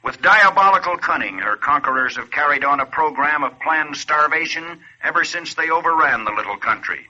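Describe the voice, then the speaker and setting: A man's voice: on the high side, expressive, brisk, sharp tone, exaggerated voice. A man playing a grave wartime announcer, delivering solemn, declamatory 1940s radio narration. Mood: somber indignation. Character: grave, forceful male wartime appeal announcer. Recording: muffled vintage radio recording.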